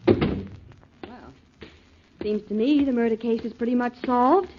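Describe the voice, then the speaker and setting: A woman's voice: a little high, slow pace. An actress playing a composed woman, a detective's companion, in a 1940s radio drama. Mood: matter-of-fact. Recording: dull, noisy 1940s radio recording.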